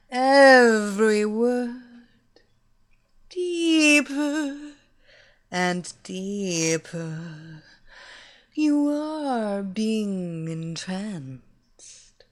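A woman voicing a seductive, domineering female villain who hypnotizes her captive, speaking in slow, sultry, repetitive phrases with breathy whispers. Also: a little high. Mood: hypnotic, commanding. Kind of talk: erotic hypnosis audio story.

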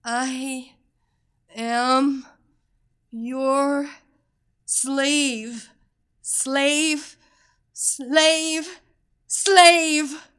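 A woman's voice: steady tone, very fast, hardly any pauses, darkish tone, lightly acted. A woman voicing a captive young female superheroine, breathless and crying out. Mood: frantic. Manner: breathless, crying out in rising pitch.

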